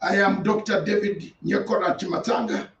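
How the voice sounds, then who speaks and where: A man's voice: high voice, steady tone, gravelly, fairly bright tone, slight background noise. Older man, distant and echoey. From Uganda. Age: 60s. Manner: deliberate, list-like recounting with repeated phrases. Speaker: interview guest. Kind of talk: recounting his life story. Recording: distant, roomy and muffled.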